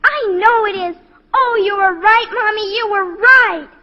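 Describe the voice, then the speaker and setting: A young girl acting an earnest, excitable child in a 1940s radio drama, on a dull old recording. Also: very high voice, unhurried, long reverb tail. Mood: excited. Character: earnest, excitable little girl who wants to believe.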